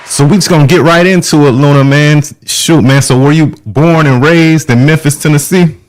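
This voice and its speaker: A man's voice: on the high side, normal speed. Show host: man, mid-range voice, hyped slangy delivery, crowd-like murmur behind. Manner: hyped, slangy, repeats shout-outs. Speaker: host of a live music interview show. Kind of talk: hype show hosting and casual chat.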